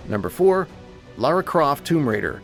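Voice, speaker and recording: Male narrator for scripted video countdown, animated intonation, slightly high register. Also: moderate pace, loud music behind the voice.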